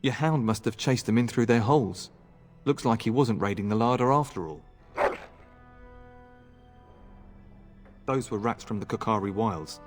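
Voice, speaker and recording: An actor playing an earnest, eager and courteous young knight in a fantasy video game. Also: male voice, fairly low pitch, brisk, music in the background, natural voice. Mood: wry, curious. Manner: polite, boyishly earnest.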